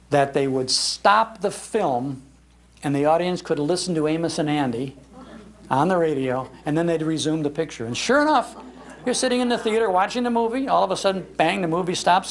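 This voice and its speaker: An American man telling anecdotes to a gathering as an animated raconteur. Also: on the high side, vocal fry. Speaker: speaker, radio historian. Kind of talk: anecdotal storytelling in a public talk. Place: public library talk.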